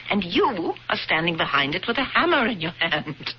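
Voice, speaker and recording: An actress in a 1970s radio drama playing a grim, determined woman avenging her family, deliberate and bitterly sardonic in formal wording. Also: on the low side, very animated, crowd noise. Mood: tense, impatient. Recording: dull, noisy old radio broadcast.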